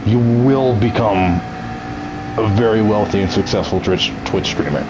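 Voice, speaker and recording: A man's voice: fairly low pitch, normal speed, very noisy. A young man chatting casually as a game streamer, nasal and ill, joking, laughing often and tripping over his words.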